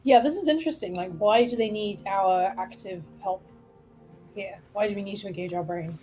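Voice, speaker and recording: A woman co-playing a game, chatting casually with animated, thoughtful questions. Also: medium pitch, moderate pace. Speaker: let's-play co-player. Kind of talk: casual gameplay commentary. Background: game music.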